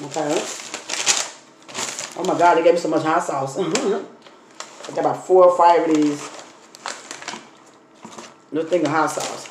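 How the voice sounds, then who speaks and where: A woman in her thirties with a slightly hoarse voice, chatting casually to her viewers while unpacking and eating a takeout meal at her table. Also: low-pitched, fairly even intonation, a little slow, pauses often, darkish tone. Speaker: vlogger. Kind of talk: casual mukbang storytime chat. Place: dining table in a home room. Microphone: phone camera on the table. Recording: echoey room with faint noise.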